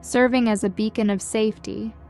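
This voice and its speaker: A woman reading a scripted guided meditation in a gentle, soothing, measured way, as a guide leading listeners. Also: fairly high pitch, steady tone, gravelly. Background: background music.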